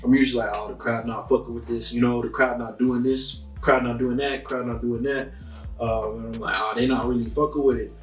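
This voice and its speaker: A young man answers interview questions in a loose, rambling way, filling every gap with "um" and "like". He sits a little back from the mic, so his voice sounds distant in an echoey room. Faint music plays under him. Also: medium pitch. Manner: laid-back, rambling, lots of "um" and "like".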